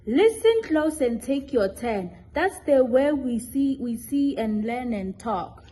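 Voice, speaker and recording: A woman's voice: sits high, lively intonation, takes it slowly, mellow, a little hiss or hum. A young adult woman giving scripted narration for a children's puppet show.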